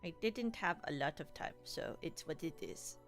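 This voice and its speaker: A woman's voice: low-pitched, lively intonation, normal speed, faint music behind. A young adult woman streamer in casual, rambling livestream chat, playfully teasing her viewers.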